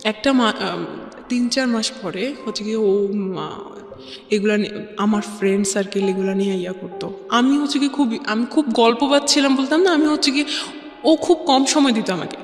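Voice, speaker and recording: A woman with a Bangladeshi accent and a high voice recounts personal experiences as a guest. She speaks haltingly and circles back over phrases, dropping in English words. The sound is echoey and reverberant, with faint music underneath. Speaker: guest telling own life story. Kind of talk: personal storytelling in interview.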